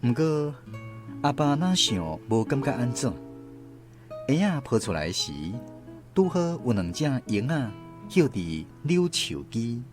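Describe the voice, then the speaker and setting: A woman's voice: very deep voice, sing-song, unhurried, exaggerated voice. An actress playing a daughter who narrates her family's past in Taiwanese Hokkien, in a radio drama. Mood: reminiscing. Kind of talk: scripted storytelling narration. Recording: music behind.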